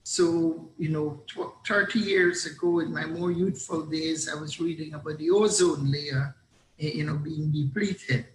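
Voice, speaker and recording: A middle-aged man from Guyana gives a reflective forum speech over a video call, deliberate and pausing. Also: a little high, steady tone, roomy sound, slight background noise, low-quality recording. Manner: reflective, deliberate, with fillers and pauses. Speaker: business executive speaking at a forum.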